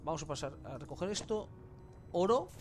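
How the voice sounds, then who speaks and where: A man's voice: a little high, lively intonation, a little fast. A man with an accent from Spain giving casual, colloquial gameplay commentary with mild swearing. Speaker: gamer, let's play host.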